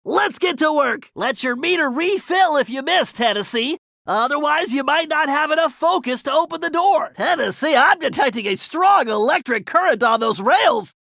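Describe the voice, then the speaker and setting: A man voicing a nerdy, brainy and anxious turtle strategist in an animated video game, with a nasal voice and precise, earnest, wordy delivery. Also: very high voice, normal speed, gravelly, few pauses, no echo, clean studio sound, exaggerated voice. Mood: explaining, planning.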